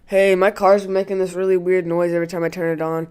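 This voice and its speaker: A man's voice: sits high, little pitch movement, fast, a little mellow, clear, resonant tone. A man making a prank phone call, chatting with an earnest, clueless manner. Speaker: prank caller.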